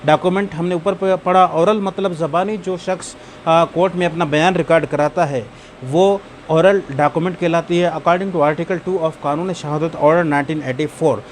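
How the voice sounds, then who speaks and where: Male law lecturer, Pakistani accent, fairly high voice, explains by question and repetition, noisy recording with background hum. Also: fairly even intonation, normal speed. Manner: repeats key points with rhetorical questions. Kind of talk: explanatory lecture mixing in English legal terms.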